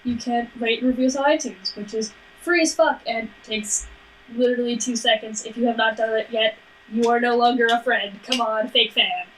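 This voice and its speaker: A woman's voice: fairly high pitch, fairly even intonation, moderate pace, slight background noise, low-quality recording. A woman co-hosting a podcast, chatting casually and plugging the show in a teasing, sweary, mock-bossy way. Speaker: podcast co-host. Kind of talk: casual banter and show plugs.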